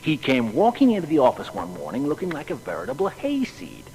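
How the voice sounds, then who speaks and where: A man's voice: a little high, fairly quick, poor audio. An actor playing a folksy old-time telegraph man, telling an anecdote in a colloquial way for an audio drama. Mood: amused recollection. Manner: animated, colloquial.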